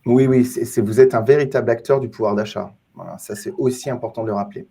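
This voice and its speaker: Mellow, husky-voiced French podcast host man. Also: mid-range voice, steady tone, fast talker. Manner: friendly, promotional host patter with fillers. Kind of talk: trade-show podcast interview.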